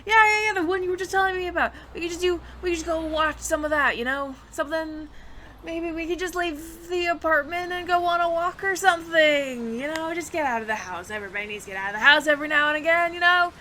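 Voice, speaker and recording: A woman's voice: extremely high pitch, fast talker, sharp tone, slight background noise. A woman playing a nervous, evasive alien roommate in an audio roleplay, stammering and over-explaining to the listener. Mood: flustered, pleading.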